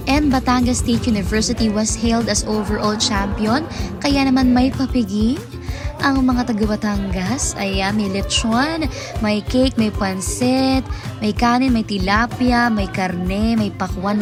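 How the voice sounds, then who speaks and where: A woman with a Filipino accent narrating a casual vlog recap in a chatty, effusive way, switching between two languages. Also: fairly high pitch. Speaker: vlogger narrating an event recap. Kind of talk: casual vlog voice-over mixing Tagalog and English. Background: music.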